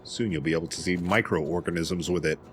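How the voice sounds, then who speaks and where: A man's voice: low voice, brisk, faint music behind. A man chatting casually as a game co-commentator, tossing in quips. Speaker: let's play co-commentator. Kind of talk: casual chat.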